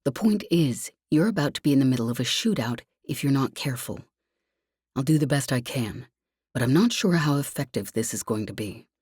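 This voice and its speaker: A woman voicing a cautious, exasperated man in an audiobook, giving urgent warnings in a hushed, hissing way. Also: extremely low pitch, expressive, a little fast, husky, no room sound, studio quality, heavily acted. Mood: tense, exasperated.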